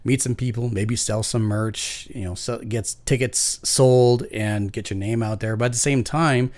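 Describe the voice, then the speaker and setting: A man's voice: medium pitch, steady tone, unhurried, darkish tone. A man interviewing a guest as a podcast host, in a conversational style.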